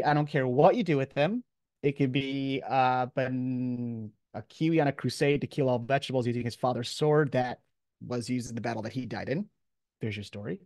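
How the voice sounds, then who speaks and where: A man's voice: medium pitch, dry recording, studio quality. A man giving an informal lecture as a professor, talking in a casual, off-the-cuff, conversational way.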